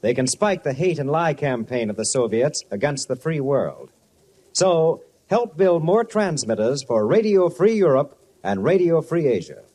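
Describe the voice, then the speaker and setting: A man's voice: fairly high pitch, sing-song, a little slow, warm tone. A man giving a scripted, emphatic, polished announcement in 1950s radio style. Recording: vintage radio broadcast with music underneath. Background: music.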